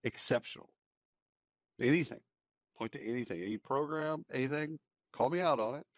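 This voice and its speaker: A man's voice: mid-range voice, moderate pace, dry recording, clean studio sound. An American man co-hosting a podcast, discussing news in a casual, opinionated, informal way and repeating phrases for emphasis. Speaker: podcast co-host.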